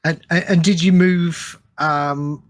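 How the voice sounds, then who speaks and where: A man's voice: fairly high pitch, steady tone, slow pace. A British man hosting a podcast, chatting and asking questions in an informal, matey way. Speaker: podcast host. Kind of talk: casual interview chat.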